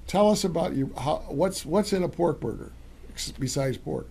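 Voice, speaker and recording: A man's voice: on the high side, expressive, moderate pace, gravelly, warm tone, a little hiss or hum. An older man hosting a cooking talk show, chatting enthusiastically with guests and rambling through restarts. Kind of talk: casual chat. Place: studio set, seated on leather couch.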